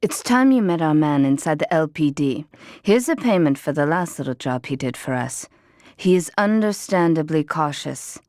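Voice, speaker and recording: A woman's voice: deep voice, lively intonation, normal speed, a little mellow, dry recording, clean studio sound. An actress playing a ruthless woman crime boss who gives orders in a cool, terse, mocking tone. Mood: cold.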